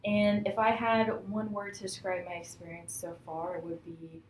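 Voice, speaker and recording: A woman's voice: lowish voice, completely flat delivery, moderate pace, slight background noise. A woman in her twenties from Kansas, a student, chatting with many likes and you knows. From Kansas, USA. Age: 20s. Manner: chatty, uses like and you know. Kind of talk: casual podcast interview. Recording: echoey room.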